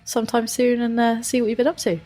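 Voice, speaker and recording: A woman's voice: on the high side, monotone, fast, dark tone, soft background music. A woman with a stuffy nose hosting a podcast, asking easygoing questions and reading the outro. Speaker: podcast host. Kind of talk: casual interview and scripted outro.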